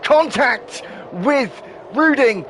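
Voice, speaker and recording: A man giving live play-by-play commentary on an online racing league, excitable and quick to correct himself. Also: extremely high pitch, slight background noise.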